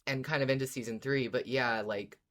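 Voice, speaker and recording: A podcast co-host speaks at a middling pitch in an informal fan discussion. The manner is agreeable and conversational, with thoughts worked out aloud. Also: steady tone, brisk, a bit bright. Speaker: podcast co-host discussing a horror audio drama.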